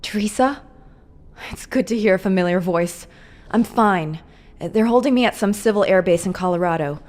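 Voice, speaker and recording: A woman's voice: mid-range voice, moderate pace, a little mellow, natural voice. A woman voicing a tough captured female agent in a game cutscene, tired and winding down but determined, talking tersely. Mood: weary, determined.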